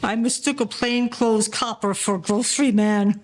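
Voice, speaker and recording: A man playing a good-natured crooked gangster of about fifty with a high voice, in a 1940s-style audio play. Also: steady tone, moderate pace, lightly acted. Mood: affable, sly. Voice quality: high-pitched. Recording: noisy recording with clicks.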